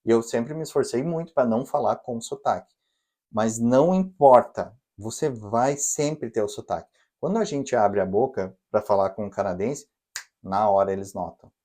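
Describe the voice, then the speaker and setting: Brazilian guy, warm mellow voice, chatty podcast co-host giving tips. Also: medium pitch, normal speed. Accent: Brazilian Portuguese. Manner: explanatory, advising listeners directly. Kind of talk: casual advice chat. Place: living in Canada.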